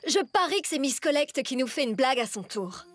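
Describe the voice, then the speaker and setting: High-voiced, stubborn cartoon student girl. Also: very animated, a little fast. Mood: determined. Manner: assertive, argumentative. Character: stubborn, skeptical young female cartoon student. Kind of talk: French-dubbed cartoon acting.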